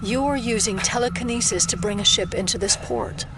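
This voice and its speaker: An actress playing a skeptical, steadfast federal agent in an audio drama, speaking in a grave, probing and controlled way. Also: female voice, mid-range voice, moderate pace, noisy background, lightly acted. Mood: alarmed realisation.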